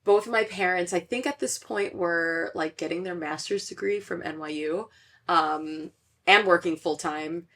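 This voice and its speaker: A young woman with a fairly low voice chats as a podcast host. She talks loosely, with many fillers and restarts. She laughs often between thoughts. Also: unhurried, a bit bright. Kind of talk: casual chat.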